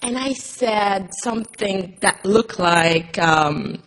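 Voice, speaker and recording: Woman, breathy husky voice, earnest, restarts often, panel talk. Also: mid-range voice, animated, slow, low-quality recording. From Haiti. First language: Haitian Creole. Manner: earnest, frequent restarts and fillers. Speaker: panelist, actress. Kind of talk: panel talk, personal story. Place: Montreal.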